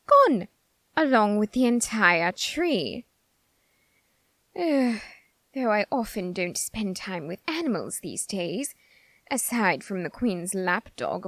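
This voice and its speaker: A woman playing a flamboyant, lovestruck, vain reaper in a theatrical audio roleplay monologue, with dramatic, drawn-out words. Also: mid-range voice, dry recording. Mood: exasperated.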